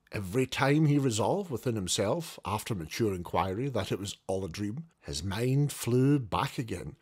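A man reading a classic novel aloud as an audiobook, in a theatrical, expressive way. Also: medium pitch, breathy edge. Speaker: audiobook reader. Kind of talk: dramatic narration of a classic novel.

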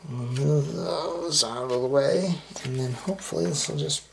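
A father slowly explaining, step by step, how he builds a computer with his son, with halting fillers, recorded at home. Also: fairly high pitch, vocal fry. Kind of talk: casual how-to narration. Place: home room with blinds. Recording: noisy home video.

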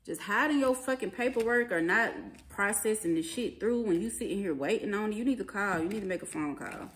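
A woman's voice: mid-range voice, animated, a little fast. A woman giving an informal tarot reading in blunt, profane, emphatic and slangy conversational speech. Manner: colloquial, slangy phrasing. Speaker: tarot reader. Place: small indoor living room at a table. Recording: clearly noisy home recording, card clicks.

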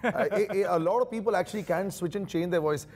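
A man's voice: high voice, fast, darkish tone. A man in his thirties hosting an entertainment interview, playful and jokey, switching between English and Hindi. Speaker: interview host. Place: small dark studio set, on a sofa.

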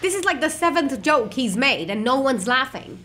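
A woman's voice: high voice, big pitch swings, moderate pace, sharp tone. A young woman co-commentating casually, with dry, sarcastic teasing. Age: young adult. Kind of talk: casual reaction banter.

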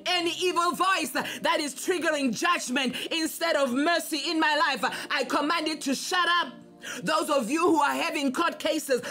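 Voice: sits high, bright, forceful tone. A preacher delivering a fervent prophetic sermon and declarative prayer in an emphatic, repetitive, exhorting manner.

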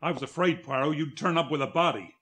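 An actor playing a blunt, exasperated American police inspector in a 1940s radio drama. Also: male voice, a little high, quick pace, very noisy, close to an everyday voice. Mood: relieved, frank. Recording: vintage radio broadcast, music behind.

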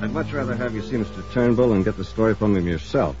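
A man's voice: fairly low pitch, quick pace, music in the background, close to an everyday voice. An actor playing an earnest, persuasive insurance company man in a 1950s radio drama. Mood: earnest, evasive. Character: evasive, cajoling male insurance company man. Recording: muffled old radio broadcast recording.

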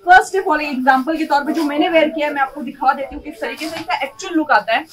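A young woman presenting dresses in a clothing showroom, talking in an upbeat sales pitch. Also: quick pace, a crowd in the background. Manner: upbeat salesy, repeats "you will get". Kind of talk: product showcase sales pitch.

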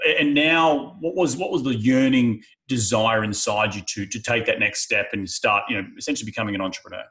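A young man hosts an interview over a headset on a video call from a small room at home. His voice sits at a middle pitch, and he talks in a chatty, enthusiastic way. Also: fairly quick, sharp tone. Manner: chatty, enthusiastic, says mate. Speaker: podcast host.

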